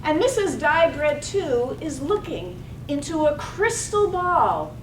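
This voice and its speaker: An actress playing the narrator of a poetic village radio drama, with measured, deliberate phrasing. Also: female voice, very animated, heavily acted. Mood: measured, descriptive. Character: narrator of a village radio play, savouring images. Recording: echoey room, distant mic, noisy rumble.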